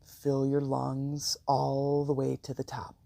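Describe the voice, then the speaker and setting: A meditation host with a soft, gravelly voice, guiding listeners calmly and soothingly with deliberate pauses. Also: mid-range voice, dry recording. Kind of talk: guided meditation and affirmations.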